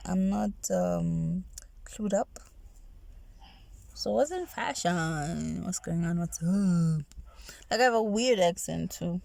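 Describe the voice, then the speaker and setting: A woman with a nasal voice chatting in a soft, rambling ASMR style, restarting her sentences and often slipping into whispers. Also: fairly low pitch, slow pace, creaky, long pauses. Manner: soft-spoken, drifting into whispers. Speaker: ASMR creator.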